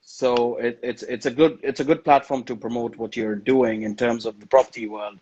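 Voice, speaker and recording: A man hosting a live online interview, asking friendly questions with lively emphasis and repeated words, over a video call. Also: mid-range voice, normal speed, husky, low-quality recording. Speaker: interview host.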